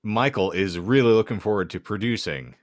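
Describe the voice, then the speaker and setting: A man's voice: on the low side, brisk. A man hosting a comedy podcast, speaking in a wry, wordy, mock-grandiose way as he banters and signs off. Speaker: podcast host.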